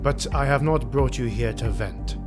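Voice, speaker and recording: An actor playing a grim, vengeful Imperial officer in a fantasy audio drama, speaking solemnly and formally with deliberate emphasis. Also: male voice, mid-range voice, over music, close to an everyday voice. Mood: sombre, earnest. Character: grim, vengeful male Imperial army officer recounting war.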